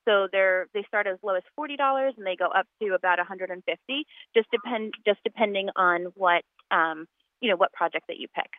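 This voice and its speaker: Convidada nascida na Polônia, conversa casual por telefone, linha fina e abafada, cheia de "um" e recomeços. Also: female voice, mid-range voice, fairly quick, no room sound. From Poland. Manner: chatty, with many ums and restarts. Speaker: guest fundraiser organizer. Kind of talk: casual radio interview by phone. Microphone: phone line. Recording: tinny phone line.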